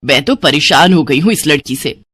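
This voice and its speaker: A woman voicing a strict middle-aged mother who nags and grumbles in a lowered voice. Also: lively intonation, moderate pace, fairly bright tone, husky, dry recording, heavily acted. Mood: grumbling. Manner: nagging, grumbling.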